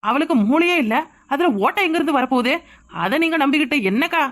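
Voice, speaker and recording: Sharp-tongued schemer, played by a woman, high and fast, scornful. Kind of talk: village family comedy skit.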